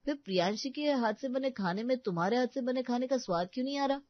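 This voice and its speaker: A woman's voice: sits high, fast, dry recording, highs cut off, pitched above the performer's own voice, close to an everyday voice. A woman voicing a young married son who complains about the food. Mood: complaining.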